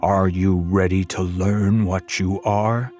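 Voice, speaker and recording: A male narrator acts out a solemn high priest for a fantasy audiobook. He speaks slowly in a low register, with grave, ceremonial weight. Faint music plays behind him. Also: flat delivery. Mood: solemn, inviting. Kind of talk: audiobook character voice.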